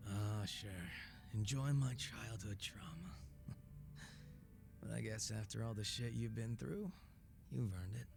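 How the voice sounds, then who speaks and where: A man's voice: on the low side, measured pace, gravelly, long pauses. A man playing a laid-back, sardonic former gang boss in a video game cutscene, talking casually with teasing and profanity. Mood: sly, mocking. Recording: music under the dialogue.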